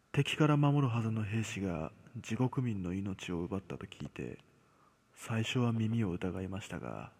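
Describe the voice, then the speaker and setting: A man telling a scripted horror story as narrator, measured, with dramatic pauses. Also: fairly low pitch, darkish tone, slightly rough. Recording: long cavernous reverb.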